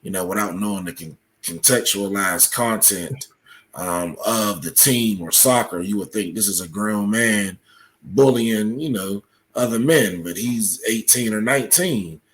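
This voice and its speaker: A man speaking as an interview guest, explaining in a measured, analytical way with examples. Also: on the low side, a bit bright, low-quality recording. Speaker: podcast guest, commentator.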